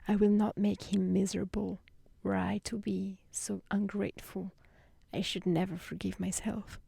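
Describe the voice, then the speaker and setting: A woman voicing a gentle, remorseful young fairy-tale heroine in a soft, hushed storybook reading. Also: mid-range voice, level tone, normal speed, gravelly, husky, dry recording. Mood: resolved. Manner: soft, hushed, whispery delivery.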